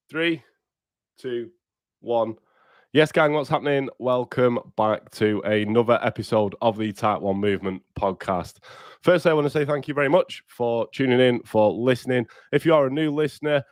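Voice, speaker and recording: A man's voice: lowish voice, no room sound, studio quality. A man hosting a casual livestream podcast while bunged up with a cold. He is blunt, sweary and upbeat, and talks straight to his viewers. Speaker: podcast host.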